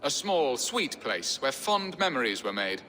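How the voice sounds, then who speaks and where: Wry nobleman, ringing bright voice. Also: mid-range voice, very animated, a little slow, slight background noise. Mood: wistful, wry. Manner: theatrical, sardonic, flowery speech. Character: wry, florid middle-aged English nobleman, weary husband. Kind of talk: video game cutscene acting. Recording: long hall reverb, music behind.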